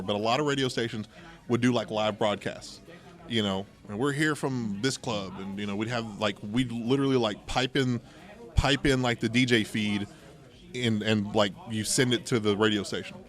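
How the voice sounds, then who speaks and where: Man, podcast host, casual storyteller, informal phrasing, mid-range voice, acts out dialogue. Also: mid-range voice. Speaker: podcast host telling personal stories. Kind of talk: casual anecdotal storytelling chat.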